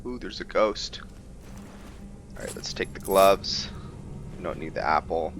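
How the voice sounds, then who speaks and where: A man's voice: deep voice, measured pace, a bit bright, slight background noise. A man hosting a gaming video, giving casual let's-play commentary as he plays.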